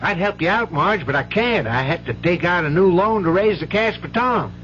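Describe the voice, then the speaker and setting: A man's voice: sits high, expressive, moderate pace, fairly bright tone. An actor playing a frustrated man who has run out of patience, in a 1970s radio drama. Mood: weary, exasperated. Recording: dull, noisy 1970s radio broadcast.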